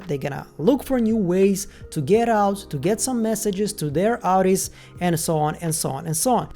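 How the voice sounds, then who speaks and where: Mellow Ukrainian-accented woman, chatty host. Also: on the low side. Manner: chatty, full of "like" and "once again" fillers. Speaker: YouTube host. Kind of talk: casual video commentary.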